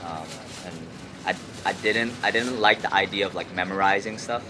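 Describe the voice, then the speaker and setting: Low-voiced young man, halting, city noise behind. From Hong Kong. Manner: halting, repeats words, slangy with frequent "like" and "you know". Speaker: music artist, interview guest. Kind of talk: casual chat. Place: outdoors on an urban rooftop. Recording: clear outdoor city traffic noise.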